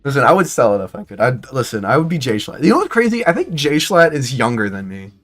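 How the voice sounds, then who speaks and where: Twenties male streamer, casual and rambly, lively pitch, faint music under the voice. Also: quick pace. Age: 20s. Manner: rambling, excitable, lots of restarts and fillers.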